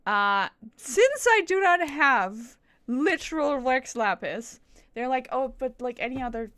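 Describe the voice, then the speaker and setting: A woman's voice: sits high, expressive, a little slow, fairly bright tone, clear, resonant tone, no room sound, studio quality. A woman chatting casually on a gaming livestream, rambling, interrupting herself and swearing now and then. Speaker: game streamer. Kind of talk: casual livestream gameplay chat.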